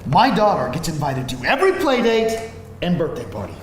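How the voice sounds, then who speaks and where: A man's voice: on the high side, sing-song, fairly quick. Man acting smug scheming dad in echoey room. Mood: demanding, triumphant. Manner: smug, theatrical. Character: smug scheming father who blackmails rival parents. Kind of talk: live screenplay table read. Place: live event room with audience. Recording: echoey room, faint noise.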